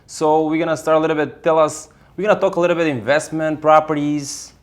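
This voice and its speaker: A man's voice: fairly high pitch, fairly even intonation, fast. A man hosting a podcast, asking questions in a casual, conversational way. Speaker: podcast host. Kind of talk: casual interview chat.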